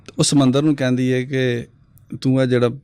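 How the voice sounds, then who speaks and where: A man's voice: medium pitch, brisk, smooth, no echo. A man telling moral stories on a talk show, acting out the lines of the figures in his tales. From Pakistan. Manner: animated storyteller who acts out the story's lines. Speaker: storyteller guest.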